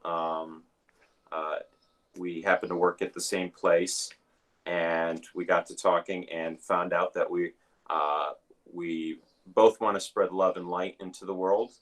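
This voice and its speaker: A minister hosting an online service, giving announcements and introducing a guest in a halting way, with many filler sounds. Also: male voice, low-pitched, level tone.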